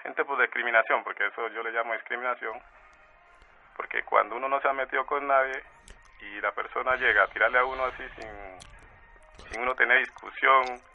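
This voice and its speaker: Gravelly-voiced man, halting speech. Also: medium pitch, steady tone, lo-fi sound, soft background music. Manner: halting, restarting phrases. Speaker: bereaved father. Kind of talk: interview testimony.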